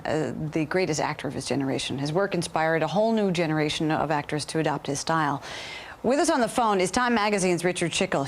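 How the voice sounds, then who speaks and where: An American woman anchoring live news and putting questions to a guest. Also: lowish voice.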